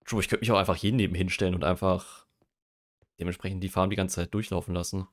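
Young male streamer, low voice, joking, casual chat at the desk mic. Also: steady tone, fast. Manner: joking, reads quiz questions aloud and muses over answers. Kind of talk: casual livestream chat while gaming.

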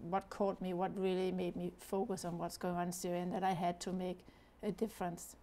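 A woman's voice: lowish voice, little pitch movement, fairly quick, warm tone, a little hiss or hum. A woman from Denmark with a Danish accent, speaking as a guest in an earnest studio interview, with many fillers and restarts. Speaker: charity founder, guest. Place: TV studio set.

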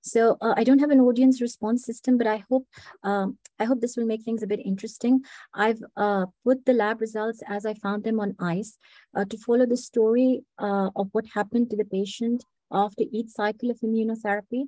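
A woman with a hoarse voice, recovering from flu, presents a medical case over a video call at a measured pace, with frequent hesitations. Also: fairly high pitch, steady tone, dark tone, no echo. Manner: measured, methodical, frequent "uh" fillers. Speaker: clinical research fellow presenting a case. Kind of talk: online medical lecture.

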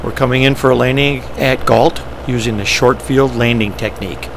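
Gravelly-voiced man explaining over engine noise. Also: mid-range voice, normal speed. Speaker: pilot presenting ski flying. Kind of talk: instructional narration, explaining step by step. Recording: steady engine and vehicle noise.

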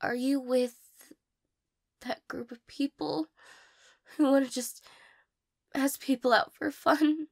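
Woman acting a high, stammering, insecure young woman. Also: fairly even intonation, takes it slowly, creaky, pauses often, exaggerated voice. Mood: wary, hurt. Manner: hesitant, stammering, apologetic, breaking into whispers. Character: shy, insecure, tearful young woman being asked out. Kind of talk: intimate romantic audio roleplay acting.

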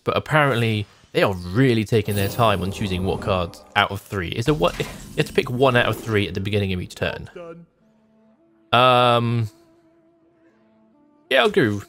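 A man's voice: lowish voice, fairly bright tone. A man in his thirties giving casual gameplay commentary as a streamer, thinking aloud in short, musing remarks. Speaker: game streamer. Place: small home room, indoors. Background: background music.

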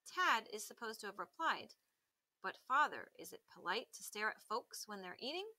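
A woman reading a children's article aloud like a teacher, with careful, expressive emphasis. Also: mid-range voice, normal speed.

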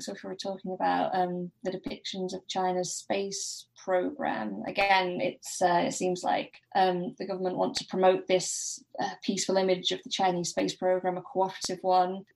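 A woman's voice: fairly low pitch, creaky, a little mellow, low-quality recording. A woman speaking as an academic guest in an informal podcast discussion, hesitant and thinking aloud as she goes.

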